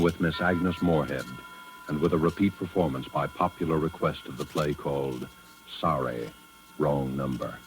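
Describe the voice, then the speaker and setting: Ominous, extremely deep radio narrator. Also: male voice, low-quality recording. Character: ominous male narrator, suave and grave. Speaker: host and narrator of a suspense show. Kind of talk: 1940s radio scripted narration. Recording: vintage 1940s radio recording.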